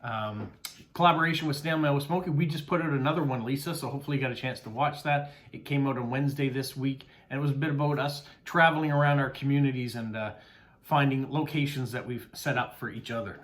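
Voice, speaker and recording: A man's voice: mid-range voice, fairly even intonation, quick pace, fairly bright tone. A middle-aged Canadian man, a mail carrier, chatting casually as he unboxes postcards, thanking each sender with many "uh" fillers.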